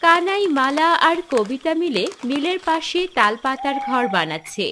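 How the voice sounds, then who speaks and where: A woman's voice: sits high, sing-song, moderate pace, background noise. A woman doing scripted storybook narration for a folk tale.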